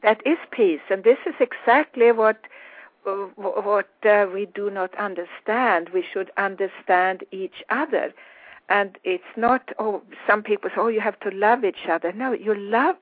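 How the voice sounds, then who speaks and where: A woman from Sweden with a Swedish accent hosting a reflective radio interview, speaking haltingly as she searches for words. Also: mid-range voice, no room sound. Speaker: radio host interviewing a guest. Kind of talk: reflective spiritual interview talk. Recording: thin, phone-like radio audio.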